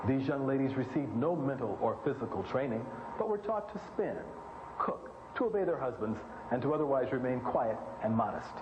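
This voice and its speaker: Male presenter, deliberate lecture-style narration, emphatic phrasing, roomy and dull lo-fi recording. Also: medium pitch, lively intonation. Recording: roomy, noisy, dull lo-fi audio.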